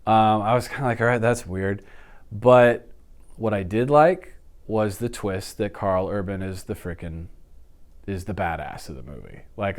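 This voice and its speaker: A man in his thirties chatting casually as an interview guest, telling stories in an animated way and acting out reactions. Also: on the low side. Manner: animated storytelling, acts out reactions and sound effects. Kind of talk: casual chat about films and games. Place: indoor office studio.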